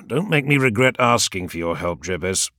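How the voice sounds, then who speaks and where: A man voicing a proud, fiery male war leader who speaks in a raised, forceful way. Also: lowish voice, big pitch swings, normal speed, dry recording, clean studio sound, pitched above the performer's own voice. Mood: earnest. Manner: forceful, raised, impassioned delivery.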